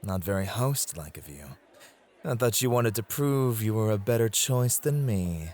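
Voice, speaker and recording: A man's voice: on the low side, lively intonation, a little mellow. A man playing a suave, possessive and devoted club host in an ASMR roleplay, speaking in a teasing, flirtatious, intimate way. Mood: smug teasing. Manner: teasing, flirtatious, intimate address with drawn-out phrasing.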